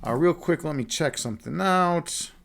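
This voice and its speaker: Chicago streamer, young guy, slightly high voice, easygoing chat, lots of man and dude. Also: normal speed, vocal fry, fairly bright tone. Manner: laid-back, chatty, frequent "man" and "dude", many restarts. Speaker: streamer and chess instructor. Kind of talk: casual live-stream chat with viewers.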